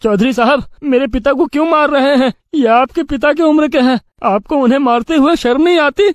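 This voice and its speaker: Male actor as farmer's grown son, high male voice, earnest, protective, dry sound, cartoon story. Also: brisk, no room sound. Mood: indignant, protesting. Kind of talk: Hindi cartoon story acting.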